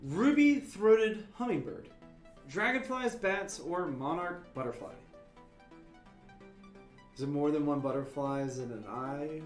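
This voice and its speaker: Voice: medium pitch, expressive, slow, pauses often. A trivia co-host reading answer options and making playful, jokey asides during a casual live stream. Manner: playful, jokey asides, sing-song noises. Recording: echoey room with faint music.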